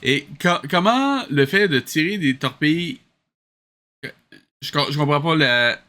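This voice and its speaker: Male comic commentator, Québécois accent: forceful, incredulous, exasperated delivery, some music underneath. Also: on the high side, big pitch swings, unhurried. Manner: incredulous, exasperated rhetorical questions. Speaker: host reviewing a cartoon.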